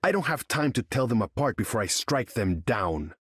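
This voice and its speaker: A man's voice: medium pitch, big pitch swings, normal speed, breathy edge, no room sound, clean studio sound. An actor playing a proud, imperious sea king in a video game, speaking in a grand, formal way. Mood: haughty, threatening. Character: proud, imperious undersea king, haughty and vengeful. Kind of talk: video game character voice lines.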